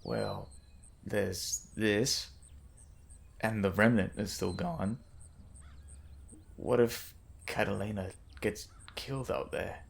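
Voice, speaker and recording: A man's voice: lowish voice, takes it slowly, creaky, long pauses. An actor playing a worried soldier who briefs his captain in an audio drama.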